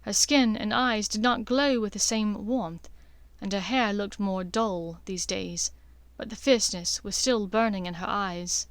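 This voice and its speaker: A woman's voice: medium pitch, steady tone, measured pace, no room sound. A woman reading scripted fiction as an audiobook narrator.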